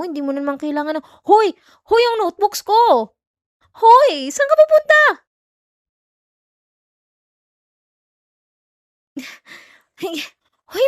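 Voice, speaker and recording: A woman's voice: very high-pitched, darkish tone, dry recording, clean studio sound. A woman with a Filipino accent playing a jealous, easily irritated student girlfriend in an ASMR roleplay, defensive and clipped. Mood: exasperated, startled. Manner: defensive, clipped, teasing Taglish.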